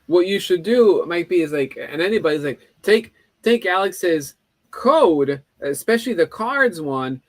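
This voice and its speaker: A man hosting a video call, eagerly giving quick explanations and short encouragements. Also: high voice, animated, no room sound. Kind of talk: casual video call discussion.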